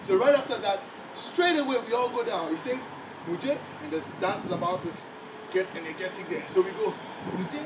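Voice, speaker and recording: A man's voice: high-pitched, normal speed. A male dance instructor counting beats aloud and explaining steps, chanting along with the music. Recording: roomy, far from the mic, loud background noise.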